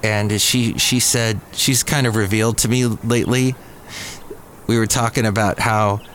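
A man's voice: on the low side, fairly even intonation, unhurried, a bit bright, slight background noise. A middle-aged man hosting a live podcast, telling digressive stories and correcting himself as he goes. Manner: digressive, self-correcting storyteller, chuckles at himself. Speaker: podcast host. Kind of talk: casual rambling monologue. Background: faint café ambience.

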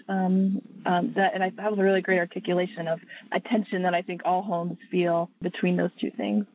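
A woman's voice: fairly low pitch, muffled recording. A woman hosting a podcast interview, asking long, rambling questions and affirming her guest.

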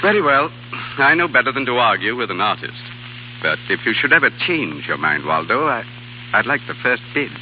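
Man acting a shrewd dealer, lively old-radio delivery. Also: mid-range voice, a little fast, close to an everyday voice. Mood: polite, dismissive. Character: shrewd, genteel male art dealer, coolly bargaining. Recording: dull 1930s radio with hiss.

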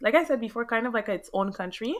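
A young woman from Montreal with a Quebec French accent, French her first language, chatting in an interview as a realtor, animated and quick to laugh. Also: a little high. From Montreal, Canada. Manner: animated storyteller, laughs often, acts out quotes. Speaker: guest, realtor. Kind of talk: casual interview chat.

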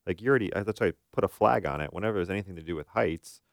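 A man with a very low, gravelly voice talks as a therapist co-host in a casual advice discussion. He explains step by step and keeps posing questions to check agreement. The sound is dry, with little room in it. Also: racing, no echo. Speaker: therapist co-hosting a talk show.

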